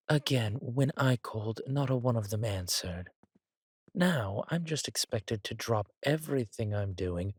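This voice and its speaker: Guy doing a breathy villain king, haughty and petulant, really slow drawl, audio drama style. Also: fairly low pitch, no room sound, clean studio sound. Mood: petulant, indignant. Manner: drawling, haughty, theatrical, sardonic. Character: arrogant, petulant villain king, cruel yet doting on his consort.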